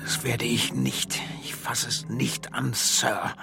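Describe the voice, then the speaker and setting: An actor in a radio drama playing a cornered civilian who stays polite but stubborn, controlled and dryly defiant. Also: male voice, medium pitch, little pitch movement, takes it slowly, gravelly, over music. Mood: controlled defiance.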